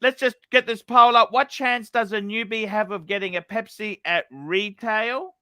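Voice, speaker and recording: Excitable high-voiced livestream host man. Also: moderate pace, clear, resonant tone, no echo, studio quality. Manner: excitable, jokey, calls out chatters. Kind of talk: casual livestream chat, reading out donations.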